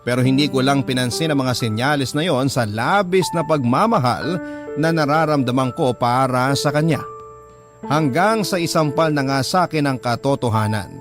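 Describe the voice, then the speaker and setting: A man's voice: medium pitch, natural voice. Rueful heartbroken man narrating over music, acted. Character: heartbroken, devoted boyfriend telling his own love story. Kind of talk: dramatic first-person radio narration.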